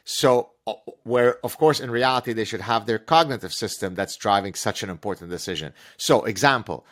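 A man whose first language is Arabic, talking as a podcast guest in an emphatic, sarcastic, animated way. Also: on the low side, a little fast. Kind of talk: podcast conversation.

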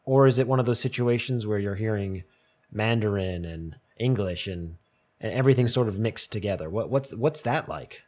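A man hosting an interview, asking casual questions with halting restarts. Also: on the low side, a little mellow. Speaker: interviewer, host.

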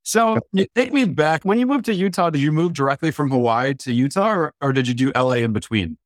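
A man's voice: a little high, expressive, brisk, no room sound, clean studio sound. A man hosting a podcast, asking questions in an upbeat, friendly way. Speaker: podcast host. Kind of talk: podcast interviewing.

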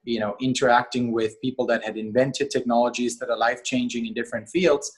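A middle-aged man from Austria speaks as a guest on a talk show. His voice is husky and his pitch is ordinary for a man. He talks in a measured, unhurried way and pauses often on small fillers. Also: fairly even intonation. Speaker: guest, company co-CEO. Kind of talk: interview conversation.

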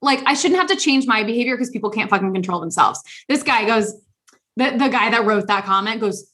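A woman co-hosting a podcast in casual chat, blunt and animated, swearing freely. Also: fairly high pitch, brisk. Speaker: podcast co-host.

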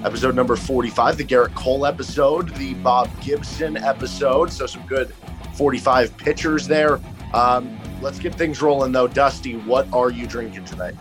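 A man's voice: mid-range voice, moderate pace. A man hosting a casual sports podcast, his voice stuffed up and congested from a cold. He keeps a dry, joking delivery as he chats. A faint music bed sits behind him. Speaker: podcast co-host. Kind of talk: casual chat.

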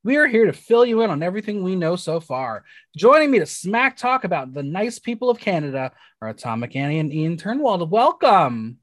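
A man hosting a podcast, chatting in an upbeat, quick way and reading plugs. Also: high voice, sing-song, dry recording. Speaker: podcast host. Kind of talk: casual podcast chat.

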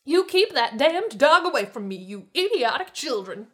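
A woman's voice: sits high, very animated, moderate pace, a bit bright, voice pushed up. A woman voicing a snooty, crotchety rich older lady with an affected accent and a haughty, indignant manner. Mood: sneering, indignant. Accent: put-on, affected.

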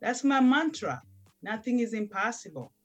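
A woman's voice: on the high side, very animated, normal speed, soft background music. Emphatic middle-aged woman, non-native English. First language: not English. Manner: emphatic and determined. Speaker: guest, night-shift nurse and author. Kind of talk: interview conversation.

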